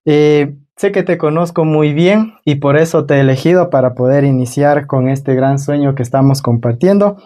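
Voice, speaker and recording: A man's voice: a little high, normal speed, hardly any pauses, dark tone. A man hosting a conversational interview on his own channel, welcoming a guest and asking questions.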